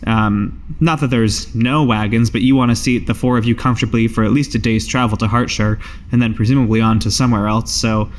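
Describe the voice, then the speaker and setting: A man voicing a dry, cautious druid master in a tabletop game, with a laid-back, deadpan, casual way of talking. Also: mid-range voice, brisk, lightly acted. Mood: dry, unbothered.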